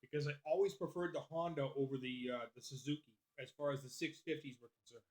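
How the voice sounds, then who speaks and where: A man's voice: mid-range voice, quick pace, darkish tone. A man hosting a live stream, chatting loosely with viewers and swearing freely as he answers their comments. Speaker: livestream host.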